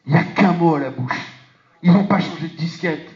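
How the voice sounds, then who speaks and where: A man's voice: fairly high pitch, fairly quick. A middle-aged man speaking to an audience in a conference hall on a handheld mic, passionate and emphatic, with rhetorical questions and frequent restarts. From France. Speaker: parliamentarian speaker on a panel. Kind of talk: impassioned public speech. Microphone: handheld microphone. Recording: echoey hall, dull lo-fi sound.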